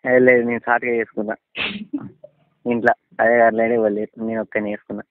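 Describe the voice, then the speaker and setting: A man's voice: fairly low pitch, level tone, fast, no room sound. A man with a Telangana Telugu accent chats casually on the phone, blunt, wary and full of questions. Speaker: friend receiving a prank phone call. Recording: tinny, dry, band-limited phone line.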